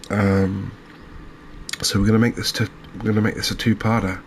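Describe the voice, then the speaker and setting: A man giving gentle, unhurried ASMR commentary as he plays a game, pausing and hesitating as he goes. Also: lowish voice, level tone, a little hiss or hum. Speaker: host of a gaming channel. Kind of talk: ASMR let's-play commentary.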